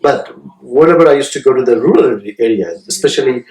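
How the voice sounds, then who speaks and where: A man in his fifties, from Bangladesh, speaking in a measured, hesitant way full of small fillers in a documentary interview. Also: medium pitch, a little mellow, echoey room, rough recording. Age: 50s.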